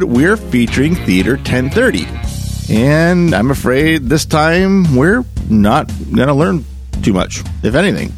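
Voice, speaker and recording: A man hosting a show, reading a scripted introduction to old horror radio with theatrical, deliberate emphasis. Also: medium pitch. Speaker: podcast host.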